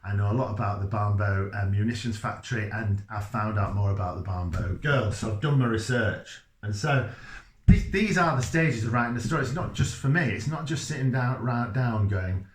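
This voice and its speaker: A man's voice: lowish voice, normal speed. A middle-aged man with a Leeds accent gives an informal, earnest explainer to camera, rambling and interrupting himself. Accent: Leeds, Yorkshire. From Leeds, England. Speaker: children's author. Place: small indoor room by a whiteboard. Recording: echoey room, faint background noise.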